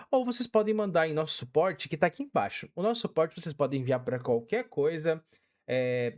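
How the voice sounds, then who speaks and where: A man's voice: fairly high pitch, a little mellow. A young man with a Brazilian Portuguese accent, casually walking through a game tutorial step by step with many fillers. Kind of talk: casual screen-recorded tutorial.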